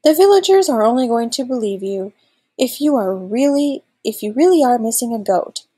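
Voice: medium pitch, animated, moderate pace, dark tone, slightly rough. A woman voicing a cunning, grumbling old male wolf in a storybook. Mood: sly.

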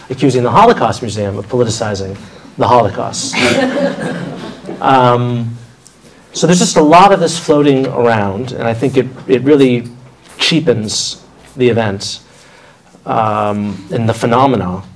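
A man's voice: medium pitch, a little mellow, low-quality recording. A man in his thirties moderating a panel, asking long, articulate questions with small restarts. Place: formal room with audience. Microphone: table microphone.

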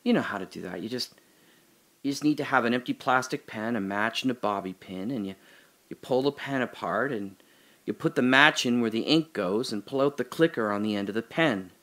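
A man's voice: medium pitch, animated, fairly quick, a bit bright. A man playing a prisoner musing alone in a cell. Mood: recalling. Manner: musing, questioning, wavers between wry and earnest. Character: man alone in a holding cell, doubting his memories. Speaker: lead actor in an audio drama. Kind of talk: audio drama monologue.

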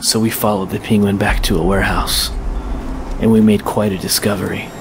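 A man's voice: lowish voice, little pitch movement, brisk, background noise, lightly acted. A man acting a brooding masked vigilante detective in an audio drama, grim and clipped. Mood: grim narration.

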